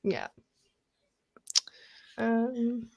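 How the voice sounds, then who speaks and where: A woman co-hosting a book club livestream, chatting casually with animated reactions and frank slang. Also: a little high, extremely slow, creaky, muffled recording. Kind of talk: casual chat.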